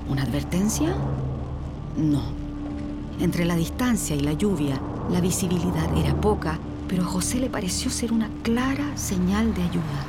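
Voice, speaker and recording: A woman narrating a scripted radio story in a measured, suspenseful way. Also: lowish voice, lively intonation. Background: prominent music and sound effects.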